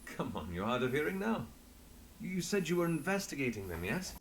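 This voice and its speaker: An actor playing a firm, earnest adventurer who leads a party in a video game, direct and familiar in manner. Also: male voice, fairly high pitch, very animated, brisk, slight background noise. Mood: businesslike.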